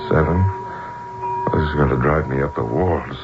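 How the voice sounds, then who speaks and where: An actor playing a brisk, jumpy American businessman and husband in an old-time radio drama. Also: rumbling low, loud music behind the voice. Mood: edgy, strained. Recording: muffled, noisy old radio broadcast.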